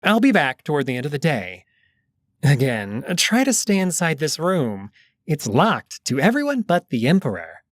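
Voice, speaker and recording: A man playing a shrewd, composed jackal schemer in a visual novel, speaking in a measured, formal, cautioning manner. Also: on the high side, huge pitch swings, no echo, clean studio sound. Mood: calm, cautioning. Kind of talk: visual novel character acting.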